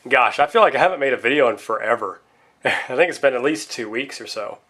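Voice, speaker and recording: Bright-voiced man, stuffy from a cold. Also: medium pitch, lively intonation, a little fast. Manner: rambling, talks straight to viewers as guys. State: stuffy nose, slight lingering cough after a cold. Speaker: vlogger reviewing pocket knives. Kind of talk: casual chatty product vlog.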